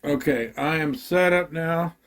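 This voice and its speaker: A man giving a casual, halting gear demo, thinking aloud as a musician at work. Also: fairly high pitch. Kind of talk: casual product demo talk.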